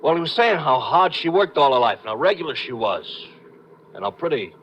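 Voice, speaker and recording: A simple, eager cabbie in a 1950s radio show. His voice sits a little high and swings freely as he talks in shy, slangy phrases. The old recording is muffled. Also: very animated, fairly quick, fairly bright tone, a little hiss or hum. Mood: bashful. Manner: hesitant, plain-spoken, slangy. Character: eager, bashful, simple-hearted male cab driver. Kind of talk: 1950s radio drama acting.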